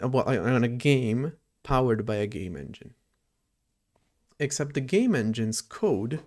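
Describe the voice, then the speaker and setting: Gravelly man rambling on a coding stream. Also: mid-range voice, darkish tone. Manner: rambling, thinking aloud, reacting to chat. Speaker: live-streaming programmer. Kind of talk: casual livestream chat while coding.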